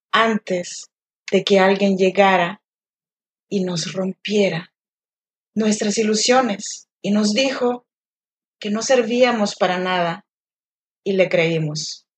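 A woman gives voice to a wounded inner child, pleading slowly and reproachfully with the grown-up who abandoned her. The delivery is soft and hurt, drawn out over long pauses. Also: medium pitch, slight background noise, close to an everyday voice.

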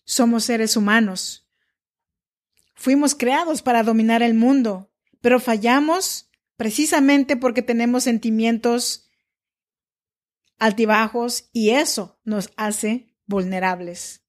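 Brief: female podcast host, slightly high voice, intimate and personal, talks one-to-one with the listener. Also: slow. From Mexico. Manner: warm, confiding, talks directly to the listener.

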